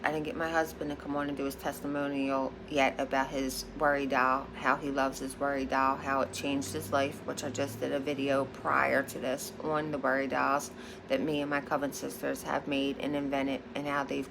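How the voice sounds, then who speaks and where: Low-voiced Philadelphia woman, emphatic. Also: level tone, moderate pace, creaky, background noise. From Philadelphia, United States. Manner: emphatic, repeats points, frequent "okay?". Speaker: high priestess and psychic. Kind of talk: casual vlog monologue.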